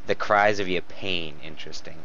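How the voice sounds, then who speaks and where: A man's voice: low-pitched, normal speed, bright, forceful tone. A man co-reading fan fiction aloud in a joking style, giggly and often stumbling over the text. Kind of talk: joking read-aloud with casual asides. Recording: muffled, noisy home recording.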